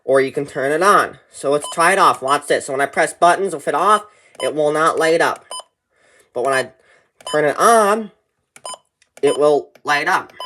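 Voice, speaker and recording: A man's voice: a little high, normal speed, long pauses, bright, forceful tone, a little hiss or hum. A man giving informal step-by-step instructions in a plain, repetitive explaining manner. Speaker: tutorial presenter. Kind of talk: informal step-by-step how-to narration.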